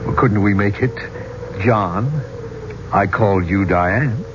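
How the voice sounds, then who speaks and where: An actor playing an affable but hot-headed archaeologist with a casual, blunt manner in a 1970s radio drama. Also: male voice, lowish voice, slow pace. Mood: friendly. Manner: casual, plain-spoken, blunt. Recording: muffled, noisy 1970s radio recording.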